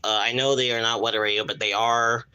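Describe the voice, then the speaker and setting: A man casually presenting on a live stream in a halting, repetitive way, often losing his thread. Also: medium pitch, flat delivery, sharp tone, clean tone, low-quality recording. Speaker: live streamer showing a radio collection.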